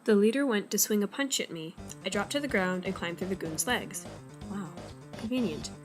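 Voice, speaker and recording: Anxious, snarky teenage boy played by a woman, first-person fan-dub narration, mid-pitched, game music clearly behind. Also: expressive, normal speed, lightly acted. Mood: narrating. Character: teenage boy Jeremy, anxious, sarcastic, narrating his story. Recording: amateur old-device recording, music behind. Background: video game music.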